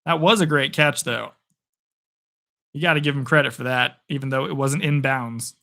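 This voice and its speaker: A young man calling play-by-play for a live stream, excitable and chatty, answering chat, his voice getting worn. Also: mid-range voice, moderate pace, gravelly. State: losing his voice. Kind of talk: casual live play-by-play commentary.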